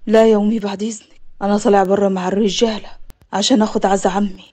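Resolute young Upper Egyptian man for serial fiction, pitched down, grave and firm played by a female narrator. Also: little pitch movement, racing, mellow, lightly acted. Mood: respectful, sombre. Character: resolute, protective young man seeking justice for uncle.

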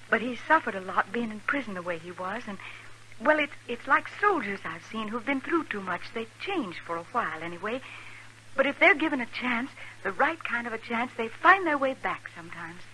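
A woman's voice: on the high side, expressive, moderate pace. An actress playing an earnest, protective frontier woman in 1950s radio drama, speaking with pleading sincerity. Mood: earnest, hopeful. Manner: pleading, earnest, soft-hearted. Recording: noisy vintage radio recording.

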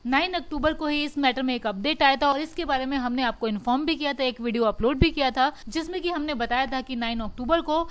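A woman presenting stock market news as a voice-over, explaining each update in a steady way and mixing English terms into her speech. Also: high-pitched, fast talker, hardly any pauses. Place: voice-over over screen recording.

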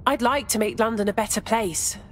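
A woman's voice: a little high, fairly quick, soft background music, close to an everyday voice. A woman playing a wary but committed young recruit in a video game. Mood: wary, concerned. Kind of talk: video game character acting.

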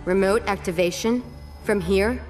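A woman's voice: mid-range voice, unhurried, faint music behind, natural voice. A woman playing a steely, impatient young naval commander in a video game, terse and demanding. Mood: urgent questioning. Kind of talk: video game cutscene acting.

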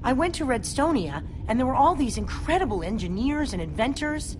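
Nervous young apprentice girl, video game: eager, apologetic, slightly high, very animated. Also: a little fast, noisy background. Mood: excited. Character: nervous, admiring young female apprentice engineer.

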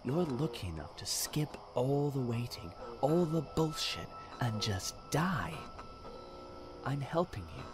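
A man playing a deranged, self-righteous serial killer in a dramatic audio drama, halting and menacing, sometimes dropping into a whisper. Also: medium pitch, big pitch swings, over music. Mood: bleak, chilling.

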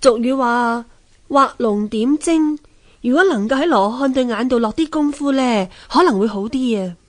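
A woman plays a wise wife in a Cantonese radio drama with a Hong Kong accent. Her voice sits fairly high, and she advises her husband gently and expressively. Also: moderate pace, close to an everyday voice. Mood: advising.

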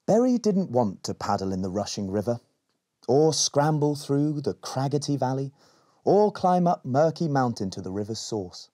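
Young guy reading a kids story out loud, really animated, bit husky. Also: mid-range voice, normal speed. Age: 20s. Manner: expressive, acts out dialogue. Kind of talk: children's picture book read-aloud.

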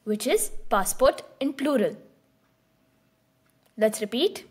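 Female language teacher and host, slightly high voice, calm and clear prompting delivery. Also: slow. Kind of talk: scripted beginner lesson narration.

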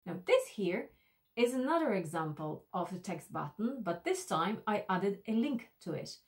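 A woman in her thirties presenting an instructional tutorial to camera, explaining step by step in a deliberate, slightly hesitant way. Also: mid-range voice, sing-song. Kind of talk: instructional screencast walk-through to camera.

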